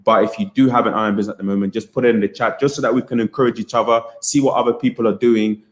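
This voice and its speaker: Brief: young British man, lowish voice, chatty livestream host, upbeat and encouraging. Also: steady tone, racing. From United Kingdom. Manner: chatty, encouraging, repeats phrases, frequent fillers. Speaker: business coach hosting a livestream. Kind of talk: casual livestream talk to chat.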